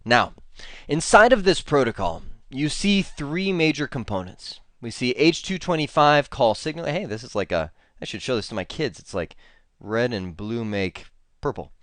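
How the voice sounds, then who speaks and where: A man's voice: medium pitch, expressive, normal speed, a bit bright, no room sound. A man with a stuffy cold giving a chatty screencast tech lesson, full of fillers and bursts of enthusiasm. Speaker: instructor.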